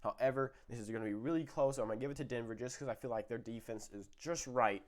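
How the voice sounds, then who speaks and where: A man's voice: medium pitch, fast talker. A young man, a little sick, giving casual football predictions with lots of fillers and self-corrections. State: slightly sick, voice a bit off. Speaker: sports video host.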